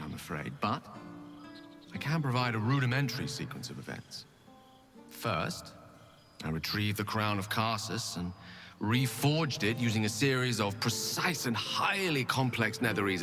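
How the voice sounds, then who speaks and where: Low-voiced, smug wizard god. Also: male voice. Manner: pompous, theatrical, precise. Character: newly ascended wizard god, smug, eloquent, ambitious man. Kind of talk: video game character acting. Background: clear background music.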